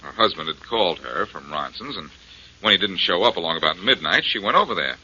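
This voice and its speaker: An actor playing a cool, crafty amateur detective in a 1940s radio drama. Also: male voice, sits low, animated, fairly quick, bright, forceful tone, full character voice. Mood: easygoing. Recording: dull, noisy vintage radio recording.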